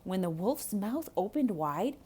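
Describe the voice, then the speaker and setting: A woman reads a children's story in a theatrical, expressive way. Her delivery is unhurried, her pitch sits mid-range and it rises and falls with great animation. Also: breathy edge. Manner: dramatic, expressive. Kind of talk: theatrical children's read-aloud narration.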